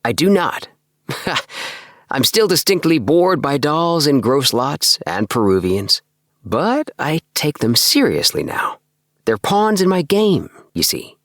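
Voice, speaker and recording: Man voicing an excitable young dreamer, pitched high. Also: slow, no echo, studio quality, exaggerated voice. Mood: earnest, enthusiastic. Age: young adult. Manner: animated, emphatic, analytical, self-satisfied. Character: excitable young dreamer, eager, earnest, obsessed with house plans. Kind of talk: acted audiobook dialogue.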